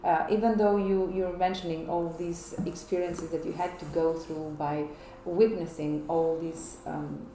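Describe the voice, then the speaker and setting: Chatty woman host, slightly low voice. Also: steady tone, a little slow, few pauses, mellow. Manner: chatty, long rambling questions. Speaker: interview host. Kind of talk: casual interview conversation. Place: guest's flat, indoors. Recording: echoey room with faint noise.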